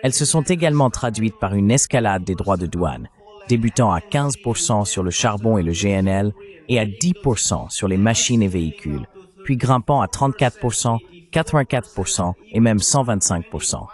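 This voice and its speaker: A man's voice: on the low side, expressive, moderate pace, darkish tone. A man delivering scripted political and economic commentary in a formal, didactic manner. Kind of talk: scripted analytical narration.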